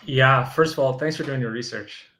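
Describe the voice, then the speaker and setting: A man answers an interview over an echoey remote call, his voice at a middling pitch. He speaks in a relaxed way and repeats words as he thinks. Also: moderate pace. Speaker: guest, business owner and community leader.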